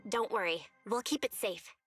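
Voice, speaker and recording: A woman's voice: mid-range voice, sharp tone, long reverb tail, soft background music, close to an everyday voice. A woman voicing a thoughtful, cautious young woman in a video game. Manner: measured and thoughtful.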